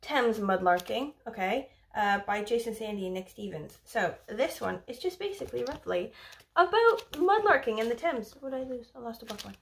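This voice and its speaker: A woman's voice: on the high side, expressive, moderate pace, creaky, a bit bright. A young woman from Wales chatting casually about books, rambling with lots of fillers and the odd swear word. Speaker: book vlogger. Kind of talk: casual book review chat.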